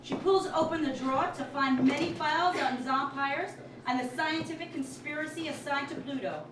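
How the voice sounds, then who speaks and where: A woman's voice: high-pitched, moderate pace. A woman narrator reading out stage directions in scripted narration at a staged reading. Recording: distant, echoey live room with clear noise.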